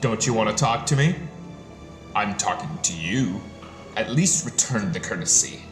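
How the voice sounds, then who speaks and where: A man's voice: on the low side, expressive, moderate pace, lightly acted. A man playing an arrogant, impatient rich collector in an audio drama, bossy and taunting. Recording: echoey room, music behind.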